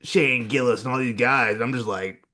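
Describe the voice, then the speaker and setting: Man, podcast co-host, casual chat, rambling, hesitant, mid-pitched voice. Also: normal speed, bright, forceful tone. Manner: rambling, hesitant, lots of fillers.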